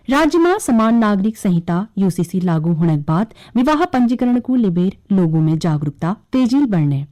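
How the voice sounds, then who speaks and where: A woman reads scripted regional radio news in a Kumaoni accent. Her voice sits at a medium pitch and has a soft, mellow tone. The intonation moves up and down in a lively way.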